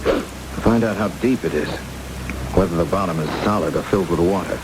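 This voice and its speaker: An actor playing a defiant, devoted captive husband in a vintage radio drama, earnest and emotional in delivery. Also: male voice, sits low, fairly quick, lightly acted. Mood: steady, reassuring. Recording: old radio broadcast under loud rain-like noise.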